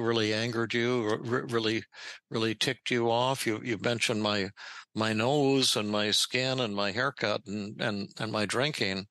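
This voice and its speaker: An older man hosting a therapy podcast, talking in halting, repeated starts while demonstrating a role-play. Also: medium pitch, gravelly, bright, forceful tone, no room sound. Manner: halting, many repeated words.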